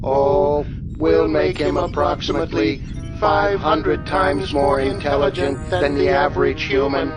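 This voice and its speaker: A man plays an alien scientist from Venus, suave and sure of himself. He speaks very slowly and deliberately in a radio play, with loud music under the voice. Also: a little high, steady tone, close to an everyday voice. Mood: smooth, assured. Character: unhurried, self-assured Venusian scientist. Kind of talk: radio drama acting.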